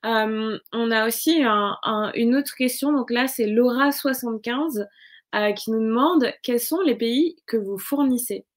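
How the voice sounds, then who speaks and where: A woman's voice: a little high, a little slow. A woman hosting a casual interview, with informal, hesitant, wordy phrasing. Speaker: interview host.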